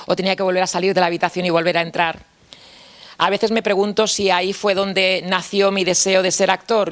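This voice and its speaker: A man from Spain with a Spanish accent gives a personal testimony talk, reflective and candid, often repeating phrases and closing points with a questioning tag. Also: sits high. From Spain, Castilla-La Mancha. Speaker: speaker at a mental health talk.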